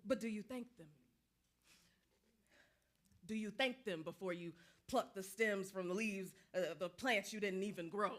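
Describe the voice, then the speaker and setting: A woman's voice: medium pitch, unhurried, long pauses, close to an everyday voice. A woman acting restless female spirit, dead soldier, taunting, insistent, vernacular speech, stage drama. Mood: reproachful.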